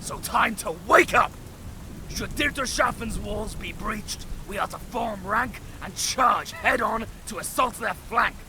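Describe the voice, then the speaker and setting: Gravelly, high-strung knight captain, arrogant war veteran, scornful barking, fantasy audio drama, storm ambience behind. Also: male voice, unhurried, background noise. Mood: scornful, sarcastic. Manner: barking, scornful, sarcastic, military. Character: arrogant, short-tempered veteran male knight captain berating soldiers.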